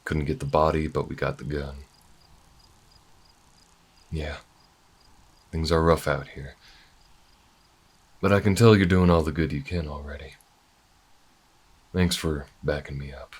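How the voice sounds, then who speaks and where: A man's voice: rumbling low, fairly even intonation, measured pace, long pauses, breathy edge, full character voice. A man playing an easygoing, good-hearted bounty hunter in a space frontier audio roleplay, talking in a folksy, reassuring drawl. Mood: warm, upbeat.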